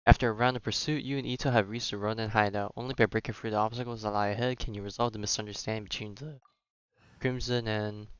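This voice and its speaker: A man's voice: on the low side, fairly even intonation, quick pace, clear, resonant tone, no echo, lo-fi sound. A man giving casual live gameplay commentary as a streamer, slangy and rambling as he thinks aloud. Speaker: game streamer.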